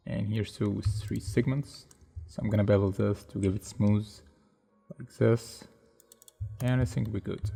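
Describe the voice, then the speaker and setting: Gravelly low-voiced man thinking aloud. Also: dark tone. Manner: unhurried, thinks aloud step by step with many hesitations; non-native English phrasing. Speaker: software tutorial instructor. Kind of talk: narrating a screen-recorded modeling tutorial.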